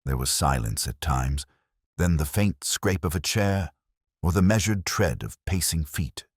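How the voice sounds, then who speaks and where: A man narrating a scripted mystery story in the first person, in a measured, solemn and dramatic manner. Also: extremely low pitch, lively intonation, slightly rough, dry recording, clean studio sound.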